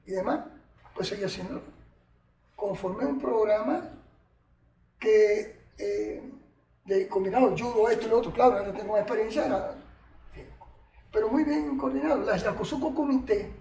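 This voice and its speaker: Older Cuban man, very high-pitched, chatty storyteller; roomy, off-mic sound. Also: creaky. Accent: Cuban Spanish. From Cuba. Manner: animated, colloquial, chatty asides. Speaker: martial arts master, interview guest. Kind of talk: reminiscing storytelling. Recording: echoey room, distant mic, faint noise.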